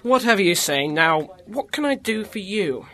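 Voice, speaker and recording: A brusque, skeptical weather official, played by a man. His voice sits a touch high. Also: very animated, moderate pace, bright, forceful tone, heavily acted.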